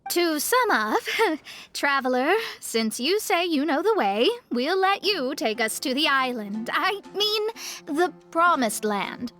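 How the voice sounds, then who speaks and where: Wry, high-voiced young astrologer. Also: female voice, sing-song, takes it slowly, sharp tone, faint music behind, exaggerated voice. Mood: weary, appeasing. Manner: dry, reluctant, sardonic. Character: wry, put-upon young woman astrologer. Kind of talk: video game cutscene acting.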